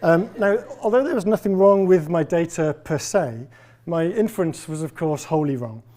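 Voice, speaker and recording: A man's voice: on the high side, expressive, moderate pace, mellow. A man in his forties from Wales with a faint Welsh accent, giving a public lecture as a professor in a wry, self-deprecating, conversational way. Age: 40s. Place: lecture hall with a live audience.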